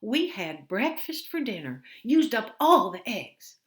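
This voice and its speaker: A woman's voice: fairly high pitch, very animated, a little slow, echoey room, pitched above the performer's own voice. Woman doing a warbly confused old mother. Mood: vague.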